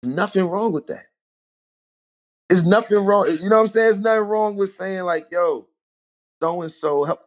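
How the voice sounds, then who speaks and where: A man, a rapper telling stories as a podcast guest in a relaxed, animated way. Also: high-pitched, normal speed, long pauses. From Harlem, New York. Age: 40s. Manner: animated storytelling, rhetorical asides. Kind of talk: casual podcast conversation.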